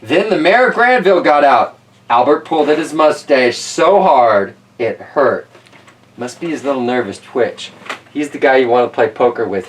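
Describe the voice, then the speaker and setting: A man's voice: medium pitch, recorded from a distance. A man reading a children's story aloud, with casual, folksy, rambling asides as host.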